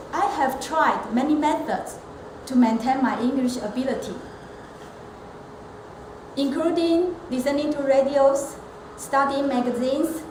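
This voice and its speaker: High-voiced, slow-speaking woman. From Taiwan. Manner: measured, earnest, with occasional restarts. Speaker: elementary school teacher and club member. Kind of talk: prepared club speech. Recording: echoey room with steady background noise.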